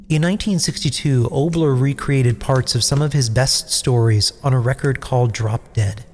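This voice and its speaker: Casting: male horror-podcast host, theatrical scripted intro, medium pitch, big cavernous reverb. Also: darkish tone. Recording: long reverb, faint background noise.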